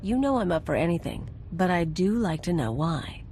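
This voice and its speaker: Loyal game sidekick: lowish, dark-toned, frank and casual, faint noise. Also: female voice, sing-song, moderate pace. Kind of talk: video game voice acting.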